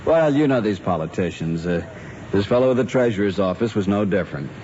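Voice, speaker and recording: A man's voice: lowish voice, expressive, brisk, darkish tone, lightly acted. Male actor as exacting middle-aged American dad, vintage family drama. Sarcastic, widely varied intonation, slightly muffled recording. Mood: self-important. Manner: formal, exacting, dry sarcasm. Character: stern, fussy middle-aged American father, sarcastic and suspicious. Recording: muffled old recording, faint noise.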